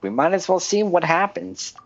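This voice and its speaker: A man's voice: high-pitched, big pitch swings, moderate pace, no room sound. An actor playing a modest young male traveler hero in a video game, hesitant and dryly wry, in slightly dull sound. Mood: dryly unsure. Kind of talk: video game character acting.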